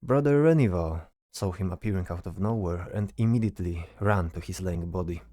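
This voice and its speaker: A man's voice: deep voice, brisk, warm tone, dry recording, studio quality. A man narrating a scripted fantasy story as the narrator of an audio drama.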